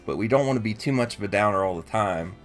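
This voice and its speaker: A man's voice: lowish voice, animated, fast talker, soft background music. A man co-hosting a toy show in casual, informal conversation. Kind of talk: casual toy review chat.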